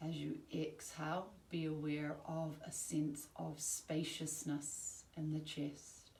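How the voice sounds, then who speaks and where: A woman teaching yoga nidra, giving calm, soothing, measured guided-relaxation instructions with long pauses. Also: low voice, creaky. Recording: roomy, distant mic, faint background noise.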